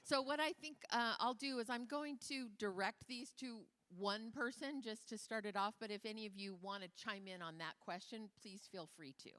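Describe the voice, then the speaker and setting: Bright-voiced woman moderating a panel. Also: a little high, clean tone. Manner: brisk, cheerful, organizing. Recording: reverberant hall.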